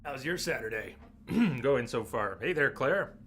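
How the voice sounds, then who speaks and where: A hoarse man with a sore, scratchy throat, chatting casually through a live game stream and clearing his throat often. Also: mid-range voice, expressive, a little slow, a bit bright. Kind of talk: casual live gameplay commentary.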